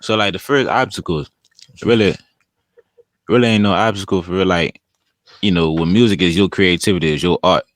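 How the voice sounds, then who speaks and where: Deep-voiced young man, laid-back slang. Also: a little fast, long pauses, dry recording. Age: young adult. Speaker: rapper guest. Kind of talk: casual podcast chat.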